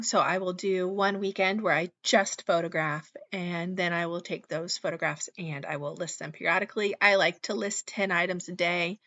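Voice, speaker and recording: A woman in her thirties hosting her own channel, chatting casually in a voice-over, her voice slightly hoarse after being sick, with frequent ums. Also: lowish voice, a bit bright, no room sound, highs cut off. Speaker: channel host, reseller. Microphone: voice-over mic.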